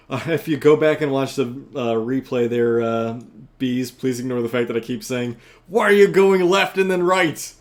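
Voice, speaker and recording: Measured male commentator, mid pitch. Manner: analytical, measured. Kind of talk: post-game discussion.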